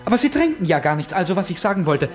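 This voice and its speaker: A man acting in a German radio play as an erratic, tipsy, eccentric gentleman who rambles insistently in grand phrases and swings between confiding and outburst. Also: sits high, very animated, rapid-fire, over music. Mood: agitated, probing.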